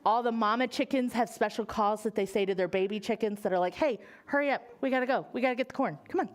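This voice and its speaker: A woman hosting a story time for children at a library, talking in an expressive, exclamatory way straight to her young audience. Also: a little high, fast. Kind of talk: enthusiastic presentation to children.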